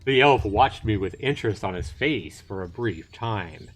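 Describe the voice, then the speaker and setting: A man's voice: on the low side, lively intonation, unhurried. A man hosting a livestream, chatting informally about a game and reading its text aloud. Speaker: livestream host. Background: game music.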